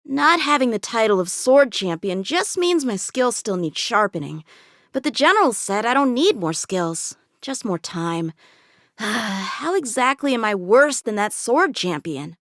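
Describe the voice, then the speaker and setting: Eager, high-pitched boy swordsman. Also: sing-song, unhurried, fairly bright tone, no room sound, studio quality, full character voice. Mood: wistful, sulky. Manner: earnest, cocky, youthful. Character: eager, confident teenage boy swordsman, a young lieutenant. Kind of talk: video game character voice lines.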